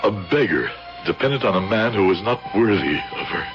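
Deep-voiced, brooding vengeful father. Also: big pitch swings, brisk. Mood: brooding, bitter. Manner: solemn, theatrical, brooding. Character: grieving middle-aged father, a vengeful professor turned occultist. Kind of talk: 1970s radio drama acting. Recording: dull vintage radio recording with music.

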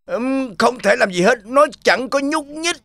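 A man voicing a greedy, scheming, grumbling wolf in a children's cartoon fairy tale, with whiny, drawn-out muttering. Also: very high-pitched, expressive, gravelly, a bit bright, no echo, studio quality, heavily acted. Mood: scheming, flustered.

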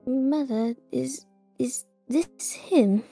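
A woman playing a timid, polite eight-year-old demigod boy, soft-spoken and hesitant, in a fantasy game roleplay. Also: high-pitched, drawn-out words, vocal fry, faint music behind, exaggerated voice. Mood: shy, polite.

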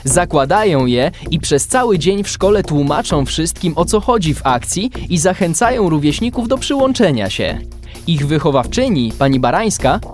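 A man's voice: on the high side, darkish tone, close to an everyday voice. A man narrating a children's adventure in a scripted audio drama. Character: storyteller of a kids' adventure.

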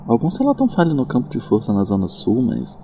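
A man playing a nervous, gentle, traumatized friend in an audio drama, hesitant and stammering. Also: mid-range voice, huge pitch swings, fairly quick, a little hiss or hum. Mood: anxious.

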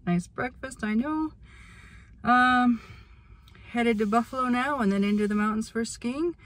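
A woman's voice: a little high, measured pace, a bit bright. A middle-aged woman from Minnesota vlogging casually to a phone held at arm's length, chatty and self-amused, rambling as she goes. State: out of breath at altitude. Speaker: travel vlogger. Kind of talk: casual vlog to camera. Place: in a car, then outdoors on snowy ski trails.

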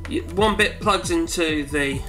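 A wheezy man in his forties talks through a casual how-to demonstration from a cluttered home study. He rambles and corrects himself as he goes, in a slightly high voice. Music plays clearly behind him. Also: unhurried. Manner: rambling, chatty, self-correcting. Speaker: home video maker.

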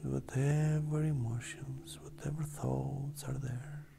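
A meditation guide speaking softly and breathily, often close to a whisper, in a gentle, unhurried manner with long pauses. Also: mid-range voice, level tone, gravelly, background noise. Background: ambient meditative music.

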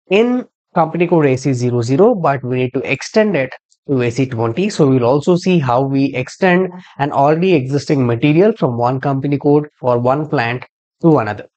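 A man giving a screen-share software tutorial as a trainer, explaining step by step and spelling out codes. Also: fairly high pitch, muffled recording.

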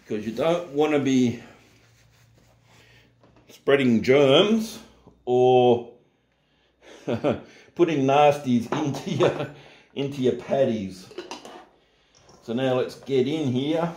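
Aussie bloke chatting thru a recipe, slow easygoing talk, mellow voice, echoey kitchen. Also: male voice, medium pitch. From Australia. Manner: relaxed, chatty, jokes at himself. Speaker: home cooking host. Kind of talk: casual cooking tutorial. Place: home kitchen.

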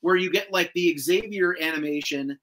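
A man hosting a casual video-call chat, recapping a cartoon episode in an animated, slangy, sometimes profane way. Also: high-pitched, normal speed, a little hiss or hum. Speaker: host. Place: indoor room with shelves of collectibles, on a video call.

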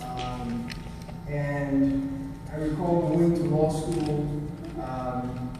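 A man's voice: a little high, fairly even intonation, music in the background. A man who stutters speaking at a podium in a large conference room, recorded from far off. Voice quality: stutters, with pauses and repeated words. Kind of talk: personal talk at a podium. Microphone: podium mic heard from a far camera. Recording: roomy hall echo from far off, noise.

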